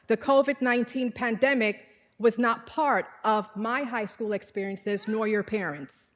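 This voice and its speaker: A woman with a Trinidadian accent delivers a formal commencement address, measured and earnest. Her voice sits a bit high for a woman. Long reverb from a large hall trails after each phrase. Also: a bit bright. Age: 40s. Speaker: commencement speaker, teacher.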